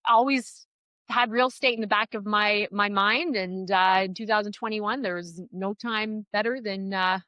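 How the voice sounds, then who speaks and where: Woman, Canadian accent, middle-aged, bright forceful voice, dry close sound, upbeat chatty podcast guest. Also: medium pitch, moderate pace, dry recording, muffled recording. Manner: upbeat, chatty, frequent "uh" fillers. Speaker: real estate agent, guest. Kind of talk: casual podcast interview.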